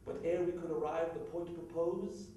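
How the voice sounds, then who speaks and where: A man's voice: fairly high pitch, fairly even intonation, normal speed, mellow, voice pushed up, close to an everyday voice. The room is heavily echoing and the mic is distant. A man performs a proud, envious Roman conspirator, declaiming with bitter intensity in Shakespearean stage style. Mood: brooding, resentful. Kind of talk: theatrical Shakespeare monologue.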